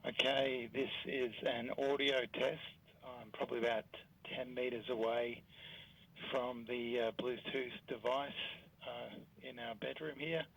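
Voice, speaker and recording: A man talking casually and haltingly in an unscripted audio test, heard through a radio-like Bluetooth intercom. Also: medium pitch, steady tone, vocal fry, a bit bright, poor audio. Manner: halting, casual, thinking aloud. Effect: Bluetooth intercom transmission, radio-like. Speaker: video maker testing a headset. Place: bedroom. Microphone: intercom headset, re-recorded by a phone.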